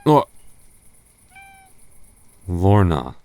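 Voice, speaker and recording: An actor playing a gentle, nervous goth boyfriend in an ASMR roleplay, soft-spoken and stammering when flustered. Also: male voice, sits low, sing-song, very slow, no room sound, heavily acted.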